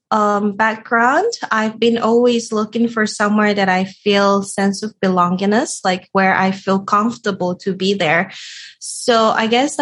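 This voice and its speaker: A woman in her thirties from Japan with a Japanese accent, talking as an interview guest, halting and stopping to search for words. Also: medium pitch, fairly bright tone. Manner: halting, many fillers and restarts. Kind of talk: casual interview chat.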